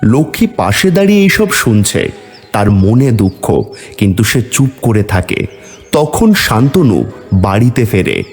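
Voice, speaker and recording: A man's voice: on the low side, very animated, measured pace, a little mellow, faint music behind. A man narrating a cartoon folk tale as a scripted storyteller.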